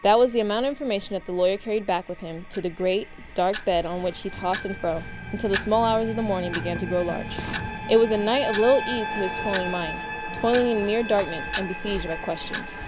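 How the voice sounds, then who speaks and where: A woman's voice: medium pitch, fast, over loud music, rough recording. A woman reading literary narration for a radio play in a formal storytelling manner.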